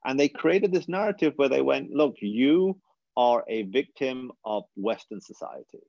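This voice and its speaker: A man's voice: medium pitch, expressive, a little slow, gravelly, darkish tone, no room sound, dull audio. A British man in his thirties, speaking earnestly and reflectively as a guest speaker on a webinar, often restarting his sentences. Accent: British English. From England. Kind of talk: webinar talk.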